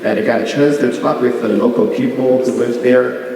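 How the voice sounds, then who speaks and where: A man's voice: medium pitch, steady tone, moderate pace, warm tone, slight background noise. A man, giving an artist talk with slides and speaking hesitantly with many fillers and restarts. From Beijing, China. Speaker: visiting artist. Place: art gallery hall. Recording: echoey gallery hall from far off.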